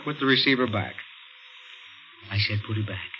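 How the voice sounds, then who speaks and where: A man's voice: on the low side, moderate pace, soft background music, natural voice. An actor playing a cold murderer posing as a helpful policeman in a 1950s radio thriller, clipped and matter-of-fact. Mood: cold, menacing. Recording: muffled, noisy 1950s radio recording.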